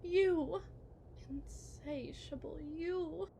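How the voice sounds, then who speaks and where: A woman playing a possessive, manipulative, lovesick kidnapper wife in a theatrical, condescending ASMR roleplay monologue, drawing out her words and swinging from hushed to emphatic. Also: extremely high pitch, very slow, vocal fry, slight background noise, full character voice. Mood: wistful, coaxing.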